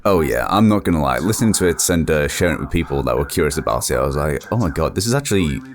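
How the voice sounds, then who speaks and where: A man's voice: extremely low pitch, lively intonation, fast. A man chatting casually and informally, a voice actor speaking as a guest, with a modest manner. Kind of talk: casual chat.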